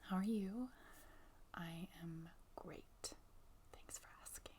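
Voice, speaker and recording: A woman whispering gently in a casual ASMR show and tell, soft-spoken and breathy, close to the microphone. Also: fairly low pitch, slow, a little hiss or hum.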